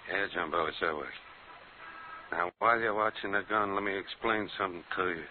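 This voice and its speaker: A man plays a menacing gangster in a 1950s radio crime drama. His voice is deep and his talk is impatient tough-guy slang. The recording is narrow and faintly hissy. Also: fairly quick, close to an everyday voice. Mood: threatening. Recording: hissy, band-limited old radio broadcast.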